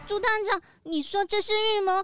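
A woman's voice: very high-pitched, fairly even intonation, moderate pace, a bit bright, faint music behind. A woman playing a small, timid, worried animal tourist in a children's radio drama. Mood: distressed.

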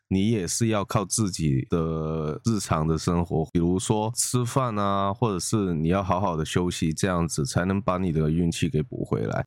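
A deep-voiced man with a Taiwanese Mandarin accent explains things to podcast listeners in casual talk. The sound is close and dry, without room echo. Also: darkish tone. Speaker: podcast co-host.

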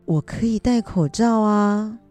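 Woman playing an eager, mellow old grandmother student. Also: medium pitch, a little slow, soft background music, lightly acted. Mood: insistent. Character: eager, modest old grandmother who studies at school. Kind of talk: children's educational radio drama acting.

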